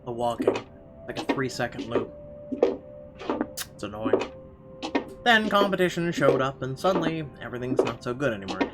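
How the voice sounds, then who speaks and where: A man narrating a visual novel as a let's player, reading aloud a little haltingly with casual asides. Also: mid-range voice, lively intonation. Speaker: gamer reading the story aloud. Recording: game music clearly behind the voice.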